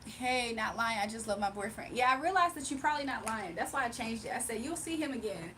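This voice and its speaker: A woman's voice: on the high side, expressive, quick pace, fairly bright tone, slight background noise. A young woman streaming live, chatting casually with her viewers, laughing often and reading out chat messages.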